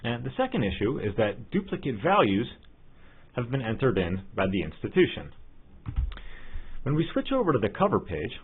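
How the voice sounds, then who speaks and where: A man narrating a scripted software tutorial as an instructor, in a methodical, step-by-step way. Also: mid-range voice, sing-song, normal speed, long pauses, a little hiss or hum. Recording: muffled, phone-like narrow-band audio.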